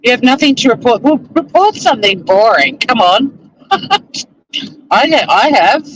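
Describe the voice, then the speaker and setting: A man's voice: very high voice, sing-song, measured pace, fairly bright tone, a little hiss or hum, rough recording. A middle-aged Australian man vlogging casually from inside his car as he drives, rambling and self-deprecating, with casual swearing. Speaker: vlogger and lawyer. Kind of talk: casual chat to camera while driving. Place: inside a car while driving.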